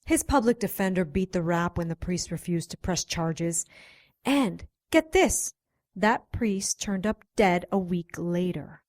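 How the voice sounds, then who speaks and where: A woman's voice: fairly low pitch, expressive, measured pace, a little mellow. A woman playing a matter-of-fact investigator giving a briefing in an audio drama.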